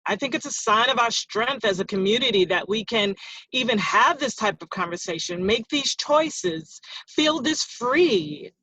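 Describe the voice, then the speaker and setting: A woman's voice: fairly high pitch, animated, measured pace, pushed and bright, breathy edge, dry recording, full character voice. A woman playing an earnest, bookish, conciliatory friend in a play reading, choosing words hesitantly. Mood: earnest, careful. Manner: hesitant, careful phrasing. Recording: dull lo-fi close recording.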